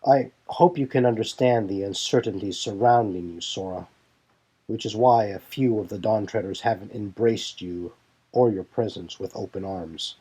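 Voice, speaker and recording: A man voicing a measured, authoritative young military leader in an audio drama. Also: lowish voice, warm tone, breathy edge, low-quality recording. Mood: measured, weary. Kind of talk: audio drama character acting. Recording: music bed behind the voice.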